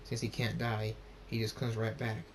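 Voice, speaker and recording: A man's voice: completely flat delivery, moderate pace, natural voice. A man voicing a stern, vengeful winged warrior pony father in a story, grim and commanding. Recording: noisy with low rumble.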